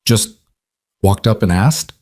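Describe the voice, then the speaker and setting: A man voicing a candid, careful woman friend in story dialogue, gently probing as they talk. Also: fairly low pitch, lively intonation, takes it slowly, breathy edge, heavily acted. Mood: curious, careful. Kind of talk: storybook dialogue within narration.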